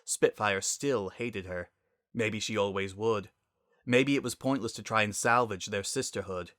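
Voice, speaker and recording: Bright-voiced man narrating a story. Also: lowish voice, measured pace, no room sound, studio quality.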